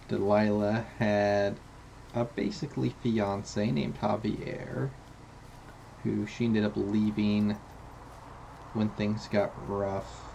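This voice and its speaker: Slow, gravelly man doing game commentary. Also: fairly low pitch. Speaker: gaming host. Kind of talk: let's play commentary. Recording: dull, lo-fi mic with clear noise.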